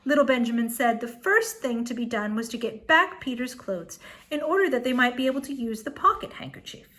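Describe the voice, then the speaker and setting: A woman storyteller reading a children's tale aloud expressively, with dramatic pauses and emphasis. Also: fairly high pitch, lively intonation, a bit bright.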